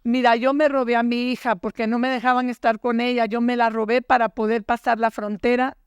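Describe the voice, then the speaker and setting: An older woman tells her own story in a quiet, measured way, sometimes halting. Her voice is smooth and clear and keeps a level, even pitch. The sound is dry, with no room around it. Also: dry recording. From Guatemala. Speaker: guest survivor. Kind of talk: personal testimony.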